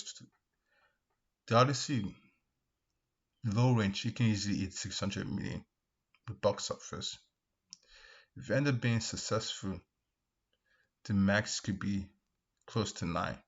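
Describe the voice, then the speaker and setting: Male video commentator, lowish and raspy, emphatic but halting, repeats points, dull lo-fi sound. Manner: repetitive, emphatic, halting with restarts. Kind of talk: casual opinion commentary, reacting to comments.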